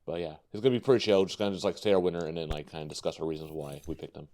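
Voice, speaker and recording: A man's voice: sits low, steady tone, rapid-fire, darkish tone. A man hosting a casual podcast, rambling with lots of fillers and easy laughs. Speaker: podcast host.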